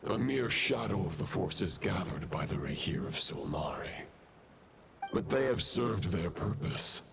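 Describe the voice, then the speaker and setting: Casting: fallen knight villain for a game, low and slow, cold, solemn, archaic and gravelly. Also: male voice, lively intonation, heavy background noise, heavily acted. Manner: archaic, formal, portentous declarations. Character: grim corrupted knight villain, solemn, chivalrous leader of a spectral horde. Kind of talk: dramatic video game voice acting. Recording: loud music behind.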